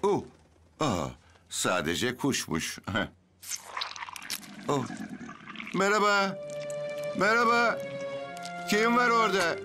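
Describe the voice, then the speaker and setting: High-voiced, jittery older bus driver. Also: male voice, sing-song, slow pace, pauses often, music in the background, heavily acted. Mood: frightened. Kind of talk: children's animated series dub.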